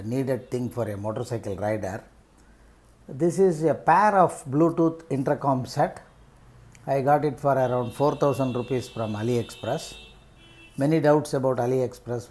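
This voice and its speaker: Middle-aged man, Indian accent, medium pitch, halting phrases, informal unboxing vlogger. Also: mellow, slight background noise. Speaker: vlogger reviewing rider gear. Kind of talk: unscripted unboxing commentary.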